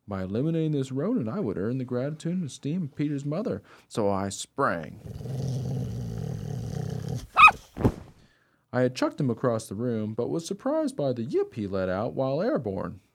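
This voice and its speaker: A man's voice: mid-range voice, big pitch swings, a little fast, few pauses, very noisy. A man playing an eager, naive mongrel dog who tells his own story in a radio play. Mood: rueful. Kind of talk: first-person radio play narration.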